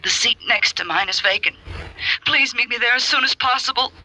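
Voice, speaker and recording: An actress playing a frightened, insistent, secretive client in 1940s radio melodrama, urgent and pleading. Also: female voice, on the high side, fairly quick, bright, forceful tone, a little hiss or hum, poor audio.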